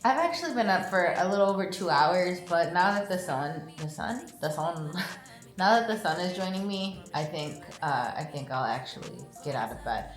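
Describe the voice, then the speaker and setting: A woman, chatting casually to camera in the early morning, relaxed and quick to laugh, with a stuffy, sniffly nose. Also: lowish voice, roomy sound, faint music behind. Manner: chatty and relaxed, laughs often, drifts off mid-sentence. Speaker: vlogger. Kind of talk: casual vlog chat to camera. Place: hotel room in the early morning.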